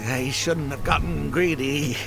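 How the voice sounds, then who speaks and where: An actor playing a genial older guide in a video game, a wheedling, easily flustered gambler with a courteous, hesitant delivery. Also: male voice, a little high, fairly even intonation, unhurried, creaky, music in the background. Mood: dismayed, apologetic.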